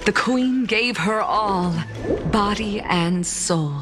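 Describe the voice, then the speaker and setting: An actress voicing a gentle, anxious young siren sister in a fantasy game, speaking in an earnest, reverent way. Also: female voice, mid-range voice, slow pace, natural voice. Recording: loud music behind the voice.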